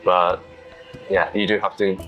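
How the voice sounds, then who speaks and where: A British man speaking reflectively as an interview guest, thoughtful and halting, pausing as he gathers his words. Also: deep voice, little pitch movement, lo-fi sound, over music. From United Kingdom, London. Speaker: interview guest, touring cyclist.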